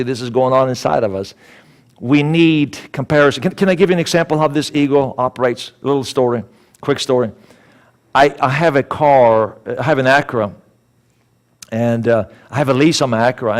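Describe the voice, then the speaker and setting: Swedish-accented male speaker, slightly high-pitched, lively storyteller in a sermon. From Sweden. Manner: animated, acts out dialogue, repeats words.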